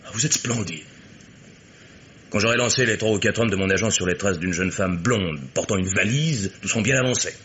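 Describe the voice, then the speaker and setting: An actor playing a blunt, sardonic private detective who argues insistently in a radio mystery play. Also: male voice, on the low side, fairly quick, a bit bright, a little hiss or hum, natural voice. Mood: sardonic, pressing.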